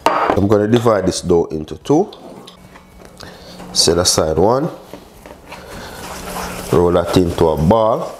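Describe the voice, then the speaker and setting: A man with a deep voice presents a recipe in a casual, chatty way. He addresses the viewer directly in short phrases and exclamations. Some noise and clicking sit behind his voice in the kitchen. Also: expressive, slow, long pauses. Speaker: cooking show host, chef. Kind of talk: casual step-by-step recipe demonstration. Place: indoor modern home kitchen.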